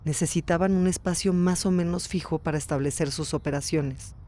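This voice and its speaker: A woman's voice: lowish voice, fairly even intonation, brisk, mellow. A woman hosting and narrating a documentary podcast in a scripted storytelling style. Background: music under the voice.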